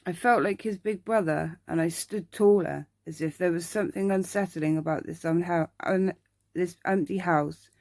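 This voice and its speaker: A woman reading a bedtime story in ASMR style, deliberately and with the odd stumble over words. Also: fairly low pitch, a little mellow, dry recording.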